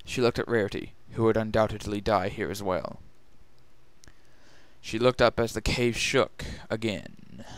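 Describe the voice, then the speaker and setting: A man's voice: on the low side, a little slow, no echo. A man narrating a scripted story as a dramatic reading. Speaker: narrator and reader.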